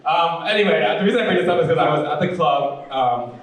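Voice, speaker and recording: A male stand-up comedian with a deep voice and a sweet, fruity cadence, telling jokes in a campy, chatty way full of "like" and "you know". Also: lively intonation, fast, recorded from a distance, a little hiss or hum. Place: comedy club stage. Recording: very echoey room, voice off-mic.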